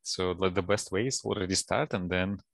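A man with a Russian accent gives relaxed interview answers in a low-pitched voice. It has a lot of fry, and he pieces his sentences together haltingly. The headset sound is dry, with very little room in it. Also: no echo. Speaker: guest, data science professional. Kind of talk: casual interview talk. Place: office, indoors, on a video call.

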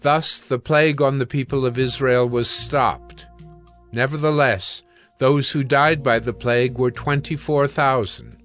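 A man's voice: medium pitch, measured pace, fairly bright tone, faint music behind. A man giving scripted narration as the narrator of a dramatized scripture reading.

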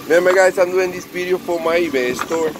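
A Spanish-accented man with a slightly high voice talks casually into a handheld phone in a cluttered workshop. He coaxes his machines along like pets while he explains things for his buyers. An engine noise sits clearly under his voice. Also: a little fast. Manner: chatty, talks to the machine as if to a pet. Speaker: eBay parts seller. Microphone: phone camera held close.

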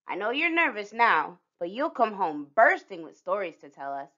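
A woman's voice: medium pitch, big pitch swings, normal speed, pushed and bright, muffled recording. A woman voicing a cheerful, reassuring father in a children's storybook.

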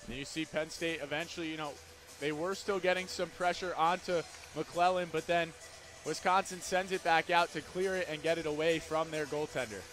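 A man with a high voice adds color commentary to a hockey broadcast. He talks in a loose, chatty way, leaning on casual fillers. Faint music plays behind him. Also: normal speed. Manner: relaxed conversational analysis with fillers. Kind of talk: casual game analysis.

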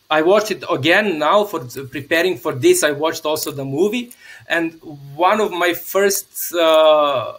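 A man's voice: fairly high pitch, a bit bright. Man from Serbia on a video call. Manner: thoughtful, rambling with many restarts and laughs. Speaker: guest, theatre artist. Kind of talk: casual conversation. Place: small home room, evening. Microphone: video call webcam.

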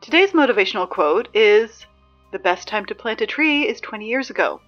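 A woman playing a sarcastic, exasperated high school announcer in a scripted audio drama, dry and emphatic in delivery. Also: expressive, moderate pace, muffled recording, soft background music, lightly acted. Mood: dry, composed. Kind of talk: scripted fiction podcast, PA announcements.

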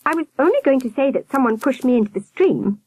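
A woman's voice: high-pitched, very animated, normal speed, husky, no echo, voice pushed up, heavily acted, poor audio. A woman voicing a chatty, unconcerned young boy in a children's story, blurting things out eagerly. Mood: indignant. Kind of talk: audiobook character voice.

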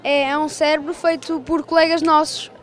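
A girl with a European Portuguese accent, halting and unsure while explaining a school exhibit. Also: high voice, level tone. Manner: halting, slow, with fillers. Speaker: student.

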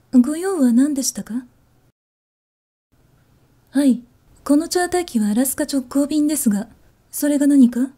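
A woman voicing a sly, sadistic chief flight attendant in a Japanese ASMR voice drama, courteous with a hushed menace. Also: high voice, natural voice. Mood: cool, courteous. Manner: hushed, slow menace under courteous speech.

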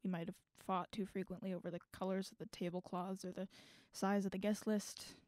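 A woman playing a guarded, regretful, bitter adult in an audio drama, speaking haltingly. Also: mid-range voice, level tone, darkish tone, dry recording, close to an everyday voice. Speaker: voice actor in student audio drama. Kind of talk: naturalistic audio drama dialogue.

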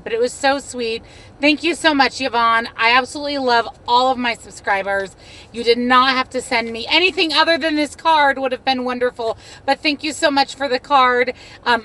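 A woman's voice: fairly high pitch, pushed and bright. A woman in her thirties with an American accent, chatting casually and gushingly to her viewers from inside a car. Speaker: vlogger. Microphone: phone or camera at arm's length.

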